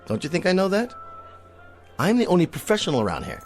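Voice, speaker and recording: An actor playing a vain, petulant television cowboy star who talks in a pompous, theatrical whine. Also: male voice, high-pitched, huge pitch swings, quick pace, faint music behind. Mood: self-pitying pomposity.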